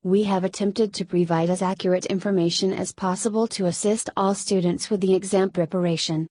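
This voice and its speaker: Female narrator for exam practice: mellow, dry close sound, measured formal read. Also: medium pitch, steady tone, dry recording. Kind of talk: scripted read-aloud narration.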